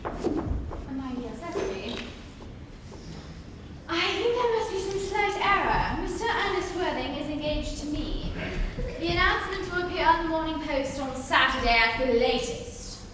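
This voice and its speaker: A young woman performs an imperious young Victorian lady with a high voice and grand, pointedly polite phrasing. It is a stage comedy reading heard from far off in a very echoey hall. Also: animated, measured pace, noisy background. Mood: icily polite. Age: young adult. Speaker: student reader. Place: large reverberant room.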